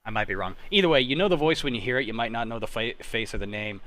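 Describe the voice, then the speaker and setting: A man's voice: medium pitch, fast talker, fairly bright tone. Young man hosting a casual chat. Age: young adult. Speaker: podcast host.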